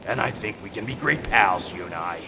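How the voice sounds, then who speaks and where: A man's voice: on the low side, a little fast, gravelly, noisy background. A man playing a mad ship captain in an audio drama, theatrically jovial with menace underneath, pompous and falsely chummy. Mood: quiet menace.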